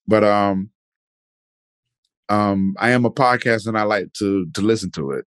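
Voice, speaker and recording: A young man, hosting a podcast interview in a relaxed, chatty way with lots of fillers. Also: lowish voice, moderate pace, clean tone, dry recording. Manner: laid-back, filler-heavy, repeats "definitely" and "man". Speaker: podcast host. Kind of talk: casual interview chat.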